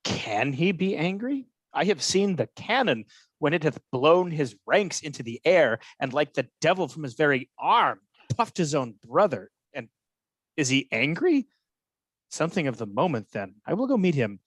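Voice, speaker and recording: Man as devious military man in Shakespeare audio play, lively swooping delivery, slightly high voice, all false surprise and worry. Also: normal speed. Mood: feigned concern. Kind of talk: Shakespearean radio play acting.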